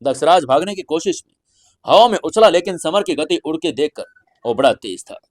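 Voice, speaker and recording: An Indian man narrates a fantasy adventure in a slightly high male voice. His delivery is theatrical and deliberate, like a dramatic audio story. The recording is dry and close, with very little room sound. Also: animated, no echo.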